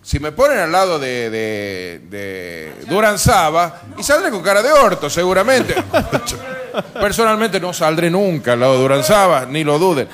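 An Argentine man hosts a casual comic radio talk show. His voice sits a little high and swings widely in pitch. He talks with an ironic, joking edge. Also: big pitch swings, moderate pace, a bit bright, faint music behind. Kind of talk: casual comic political chat. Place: bar, live radio broadcast.

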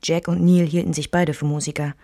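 A woman's voice: low-pitched, flat delivery, a little fast, gravelly, dark tone. An actress playing a composed woman narrator, reading a literary bohemian memoir for a radio play.

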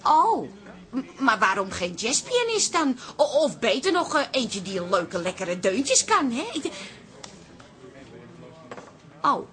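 An actress playing a stubborn, down-to-earth pub landlady in a radio drama, plain-spoken and quick to defend herself. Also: a little high, sing-song, a bit bright. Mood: polite, flustered.

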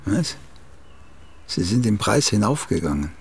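An aging, self-important playwright in a radio comedy. His voice is heavily gravelled and husky, and he takes his time over words. He swings his pitch about as he condescends and needles. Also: sing-song, measured pace, heavily acted. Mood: needling, probing. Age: older. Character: vain, aging celebrated playwright, condescending and touchy. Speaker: actor in a radio drama. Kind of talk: literary comedy radio play acting.

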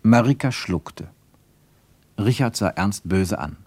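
Expressive, lowish-voiced male narrator. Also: breathy edge, dry recording. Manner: expressive, deliberate phrasing. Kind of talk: literary scripted narration for a radio play.

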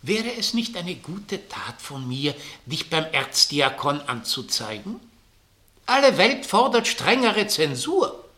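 A grumbling old skinflint of an innkeeper in a 1960 radio play. His sharp voice swings widely in pitch, theatrical, exclamatory and self-pitying. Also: big pitch swings, slow, full character voice. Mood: scornful. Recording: vintage 1960 radio drama recording.

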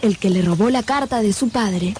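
A woman's voice: medium pitch, normal speed, darkish tone, a little hiss or hum. A woman narrator delivering scripted narration for a radio drama. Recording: music behind the voice.

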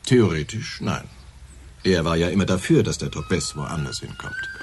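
An actor playing a laconic, matter-of-fact local police officer in a naturalistic radio crime play. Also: male voice, low-pitched, huge pitch swings, moderate pace, slight background noise. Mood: wry, laconic.

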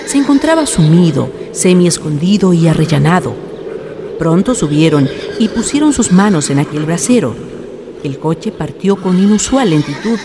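A solemn narrator tells a scripted radio drama tale in literary phrasing. The voice sits in the middle register, with clear background noise and ambience around it. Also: mid-range voice.

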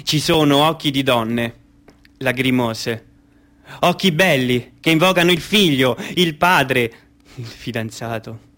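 Solemn, sorrowful witness. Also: male voice, medium pitch, takes it slowly, fairly bright tone. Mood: grave, mournful. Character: grave, sorrowful witness to torture and executions. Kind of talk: poetic theatrical monologue.

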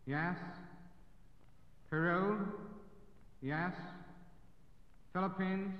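A man slowly and formally calling a roll at an assembly vote, in an old archival recording. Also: sits high, steady tone. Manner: slow, formal calling of names. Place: large assembly hall. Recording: lo-fi 1947 archive recording in an echoing hall.

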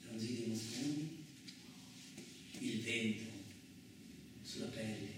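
A man's voice: mid-range voice, natural voice. A man playing a boastful, hot-tempered truck driver, in a colloquial theatrical radio monologue full of muttered asides. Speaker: actor. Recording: very echoey, distant, lightly noisy room.

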